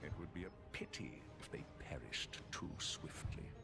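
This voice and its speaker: A man's voice: rumbling low, animated, normal speed, vocal fry, heavily acted. A man playing an arrogant, grandiose conquering supervillain in a video game, savoring his words with mocking pomposity. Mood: savoring grandeur. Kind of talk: video game cutscene acting. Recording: loud music behind the voice.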